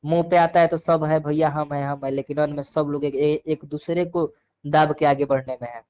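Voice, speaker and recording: Higher-pitched Bhojpuri-accented man on muffled phone call. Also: flat delivery, moderate pace, a little mellow. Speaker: interviewee giving opinions. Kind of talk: casual phone interview. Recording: dull lo-fi phone call recording.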